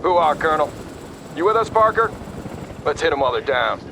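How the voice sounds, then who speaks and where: An actor playing a gung-ho, combative army captain in a military video game, talking in brash soldier fashion. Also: male voice, fairly high pitch, sing-song, fairly quick, very noisy. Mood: fired up. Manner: brash, forceful soldier talk. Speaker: army field officer.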